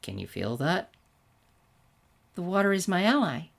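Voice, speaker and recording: A dignified undead warrior lord from a fan fiction story , acted by a woman. He is male, low-voiced and quiet. He speaks in short, measured phrases with calm authority. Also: gravelly, full character voice. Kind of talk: fan fiction character dialogue.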